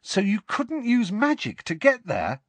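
An incredulous, blunt teenage boy wizard, as a man puts him on, pitched well above the reader's own husky voice and full of disbelief. Close, dry sound. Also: huge pitch swings, unhurried, dry recording, heavily acted. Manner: incredulous, exclaiming.

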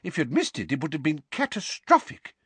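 A man's voice: fairly high pitch, huge pitch swings, bright, forceful tone, husky, no room sound, voice pushed up, exaggerated voice. A man doing a harried, breathless wizard official. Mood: urgent, breathless. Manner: urgent, breathless, hurried. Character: harried middle-aged male wizard official, anxious.

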